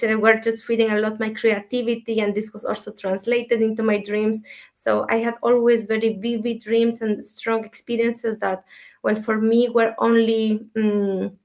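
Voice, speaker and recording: Soft, even-toned woman. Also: on the high side, vocal fry. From Ecuador. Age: 30s. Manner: soft, reflective, many hesitations. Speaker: guest, dream mentor. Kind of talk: interview, personal story.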